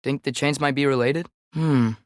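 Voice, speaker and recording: A man's voice: medium pitch, steady tone, normal speed, dry recording, lightly acted. Young man as teenage boy, eager, earnest, curious, video game companion, dry studio sound. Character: eager, earnest teenage boy fighting beside his father.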